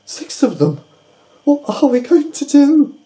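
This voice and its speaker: A man's voice: extremely high pitch, sing-song, unhurried, dark tone, voice pushed up, full character voice. A man voicing a fussy, gloomy male pig in a storybook reading, in a raised, complaining voice. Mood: grumbling. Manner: complaining, exclaiming.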